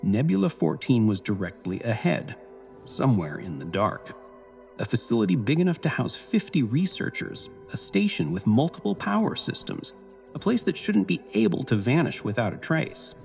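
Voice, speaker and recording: A man reading scripted cinematic science fiction narration in a measured, serious storytelling manner. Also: medium pitch, very animated, vocal fry, a little mellow. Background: music bed.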